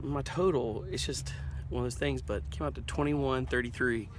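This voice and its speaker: A man's voice: mid-range voice, moderate pace. A middle-aged man talking casually and folksily to camera as an upbeat family vlogger and volunteer pastor, rambling as he goes. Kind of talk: casual vlog talk to camera. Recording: clear car and street noise behind.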